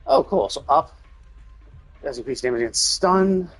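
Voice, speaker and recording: A man's voice: on the high side, expressive, moderate pace, breathy edge, soft background music, poor audio. A man doing casual live-stream commentary on a game, rambling analytically as he thinks aloud.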